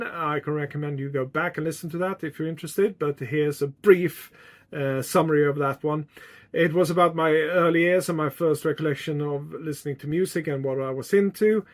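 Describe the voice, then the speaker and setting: A middle-aged man from Sweden with a Swedish accent, chatting casually to viewers as a music vlogger. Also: on the high side. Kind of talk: casual chat to viewers, reminiscing.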